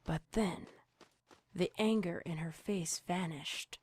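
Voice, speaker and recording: A woman's voice: fairly low pitch, takes it slowly, a little mellow, slightly rough. A woman reading scripted horror fiction as a storyteller. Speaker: narrator. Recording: music under the narration. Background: music.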